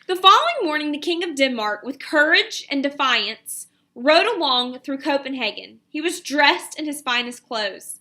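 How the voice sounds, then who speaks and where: A woman, an elementary teacher reading a picture book aloud and giving pupils instructions in an expressive, deliberate way. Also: high voice.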